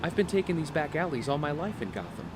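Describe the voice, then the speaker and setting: Man playing a steady father amid street noise. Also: on the high side, quick pace. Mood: affectionate. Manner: soothing, steady. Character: calm, reassuring, protective family father. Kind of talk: audio drama acting. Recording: noisy city street ambience.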